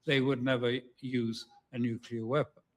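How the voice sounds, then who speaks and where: A man speaking as an interview guest, giving commentary in a halting, measured way. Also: medium pitch, level tone. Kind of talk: spoken analysis in an interview.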